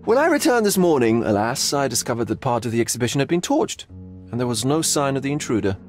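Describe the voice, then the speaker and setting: A man playing a sly, hedonistic, eccentric art gallery owner in a video game, with drawn-out theatrical philosophizing. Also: medium pitch, sing-song, soft background music, lightly acted. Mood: intrigued, grave. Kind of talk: video game cutscene acting.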